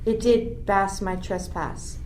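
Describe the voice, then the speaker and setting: A woman's voice: mid-range voice, steady tone, slow, a little mellow, lightly acted. A woman as a despairing royal, echoey sound. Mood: horrified, despairing. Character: grief-stricken, guilt-ridden royal parent in despair. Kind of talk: amateur Shakespeare radio play acting. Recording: roomy, with noisy ambience behind.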